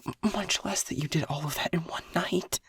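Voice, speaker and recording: A man's voice: sits high, normal speed, fairly bright tone, slightly rough, no echo, full character voice. A man playing a stammering, whispering lab technician. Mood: frightened whisper. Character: anxious young male lab technician, protective. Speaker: audio roleplay voice actor. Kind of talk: audio roleplay acting.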